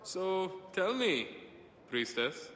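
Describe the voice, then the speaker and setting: A man's voice: high voice, big pitch swings, extremely slow, fairly bright tone, exaggerated voice. A man playing a smug, menacing military officer in a video game, drawing out sarcastic questions in an echoey hall. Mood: smugly menacing. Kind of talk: dramatic video game acting. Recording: echoey hall with faint noise.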